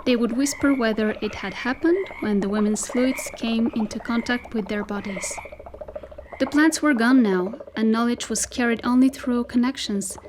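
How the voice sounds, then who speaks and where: A woman reading scripted literary narration for an audio drama, with measured, deliberate phrasing. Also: a little high, a little mellow, a little hiss or hum.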